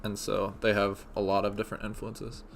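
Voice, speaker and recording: A man's voice: on the low side, completely flat delivery, fairly quick, creaky, slight background noise. A young man from Indiana hosting a livestream, laid-back and laughing often as he reads chat comments aloud. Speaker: livestream host.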